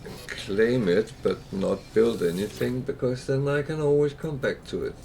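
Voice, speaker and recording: A man's voice: mid-range voice, moderate pace, vocal fry, a little mellow, a little hiss or hum. A man from Denmark with a Danish accent, chatting casually and hesitantly while livestreaming a game. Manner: chatty, rambling, hesitant with fillers. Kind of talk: casual gameplay livestream chat.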